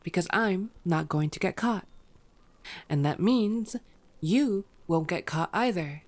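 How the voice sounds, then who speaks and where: A woman's voice: lowish voice, animated, takes it slowly. A woman playing a sardonic, teasing, bloodthirsty female vampire in an ASMR roleplay, drawing out words and sometimes sinking toward a whisper. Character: teasing, unrepentant bloodthirsty female vampire, old yet youthful-sounding.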